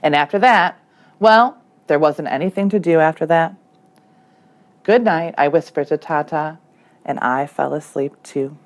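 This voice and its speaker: A woman's voice: deep voice, moderate pace. A woman reading a children's story aloud as a teacher, expressively and acting out the dialogue. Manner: animated, acts out the dialogue.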